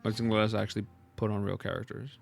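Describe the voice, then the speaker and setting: A young male streamer gives slangy live reactions to a game. His medium-pitched voice has a lot of creak, and he mutters and repeats short phrases. Soft game music runs behind him. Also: little pitch movement, fast. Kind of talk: casual live gameplay reaction commentary.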